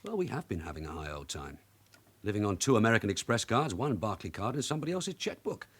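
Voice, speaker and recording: A man acting in a radio drama as a shrewd veteran police sergeant with a London accent and a dry, brisk manner. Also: lowish voice, very animated, a bit bright, husky, full character voice. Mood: firm, sardonic.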